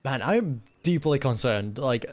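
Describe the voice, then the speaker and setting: A man's voice: medium pitch, lively intonation, moderate pace. A man giving casual live reaction commentary on a game, muttering asides and thinking aloud. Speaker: gaming reaction streamer.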